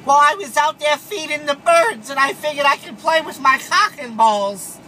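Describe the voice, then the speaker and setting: A man voicing a whiny, simple-minded prank caller with a silly, drawn-out way of talking. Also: very high-pitched, noisy background, pitched above the performer's own voice. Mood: silly pleading.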